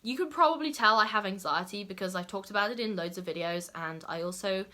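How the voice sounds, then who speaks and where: A woman's voice: medium pitch, fast, sharp tone. A young adult woman talking casually to camera as a lifestyle YouTuber, chatty and full of "like" and "okay?" asides.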